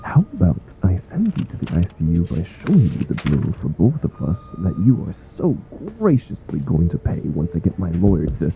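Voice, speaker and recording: Man acting a deep, weary, exasperated superhero. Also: huge pitch swings, a little fast, gravelly, hardly any pauses, mellow, highs cut off, soft background music, full character voice. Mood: fed up. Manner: dry, weary sarcasm. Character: exasperated, grumpy male superhero stuck with his nemesis. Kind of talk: comedic audio roleplay acting.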